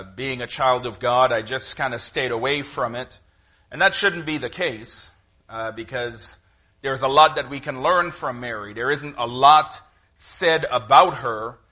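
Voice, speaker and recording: A middle-aged man with a Trinidadian accent, preaching a sermon in a conversational, halting way with frequent fillers. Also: mid-range voice, pushed and bright. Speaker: guest preacher.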